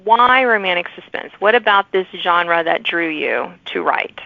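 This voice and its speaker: A woman's voice: fairly low pitch, expressive, measured pace, vocal fry, no room sound. A middle-aged woman hosting a conversational interview, speaking in an encouraging, affirming way. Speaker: podcast host and writer. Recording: tinny band-limited phone-like line.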